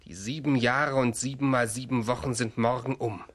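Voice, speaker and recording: Actor as a husky, sinister grand duke. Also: male voice, mid-range voice, fairly even intonation, moderate pace, fairly bright tone, natural voice. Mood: smug, threatening. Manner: haughty, menacing. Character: evil, sinister, arrogant grand duke and suitor. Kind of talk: radio play acting for children.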